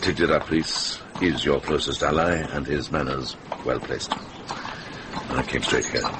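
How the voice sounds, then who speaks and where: An actor playing a shrewd, worldly older monk in a radio drama, dry and watchful in manner. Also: male voice, very deep voice, a little slow. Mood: measured, shrewd. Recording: noisy vintage radio drama recording.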